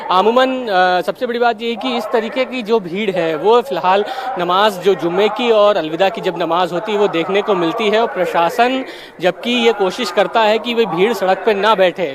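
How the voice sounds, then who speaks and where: A man's voice: high voice, moderate pace. A man giving a live television field report as a news reporter on a street. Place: outdoors on a crowded city street. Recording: loud crowd noise around voice. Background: crowd.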